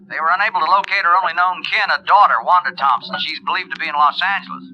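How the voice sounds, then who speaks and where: Sharp, high-voiced radio colleague. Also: male voice, fast. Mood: brisk, friendly. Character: brisk, friendly male insurance colleague on telephone. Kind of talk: 1950s radio drama acting. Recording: dull, noisy old radio recording.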